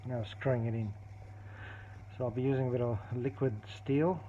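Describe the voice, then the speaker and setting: A man casually demonstrating a tape recorder repair at his workbench, explaining step by step in a halting way, with many fillers and restarts. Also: mid-range voice, steady tone, dark tone. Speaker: hobbyist repairer. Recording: dull, lo-fi audio with clear background noise and clicks.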